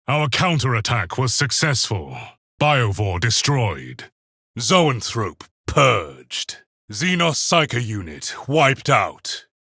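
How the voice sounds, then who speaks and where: A stern armoured space marine tactician from a video game, with a bright, forceful voice that swings widely in pitch. He reports in clipped, formal military phrases, cold and clinical. The sound is close and dry. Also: husky, dry recording, exaggerated voice. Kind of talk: video game combat voice lines.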